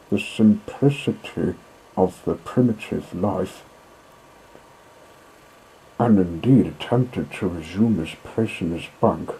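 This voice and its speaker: A man narrating a classic children's story in a measured, dramatic way, with deliberate pauses and a husky voice. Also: deep voice, warm tone, rough recording.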